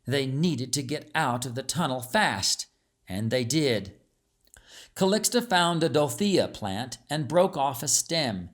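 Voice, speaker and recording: A man reading a scripted story as an audiobook narrator, with a measured, dramatic storytelling delivery. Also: mid-range voice, animated.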